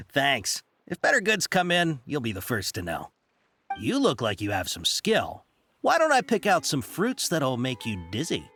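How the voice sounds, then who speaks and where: A man's voice: mid-range voice, wildly swooping pitch, unhurried, bright, forceful tone, slight background noise, heavily acted. A man voicing a polite, slightly shifty young shop clerk in a video game, courteous and apologetic. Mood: courteous, businesslike. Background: game music.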